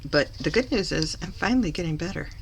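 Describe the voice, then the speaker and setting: A woman recovering from a cough, slightly hoarse, chatting through a papercraft tutorial as she works and correcting herself along the way. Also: fairly low pitch, expressive, fast, background noise. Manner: chatty, self-correcting, talks while working. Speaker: papercraft demonstrator. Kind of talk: live craft tutorial.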